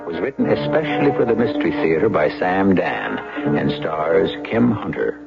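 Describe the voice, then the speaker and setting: A man hosting a 1970s radio mystery show, narrating from a script in a deliberate, theatrical, dramatic manner. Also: fairly low pitch, creaky. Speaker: radio drama host and announcer. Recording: dull old radio broadcast, music behind.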